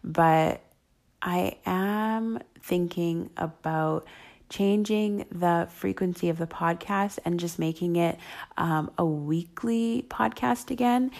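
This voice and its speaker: A young adult woman with a hoarse voice, rambling and thinking aloud with many ums as she hosts her solo podcast. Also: on the low side, darkish tone. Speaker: podcast host. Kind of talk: casual solo podcast chat.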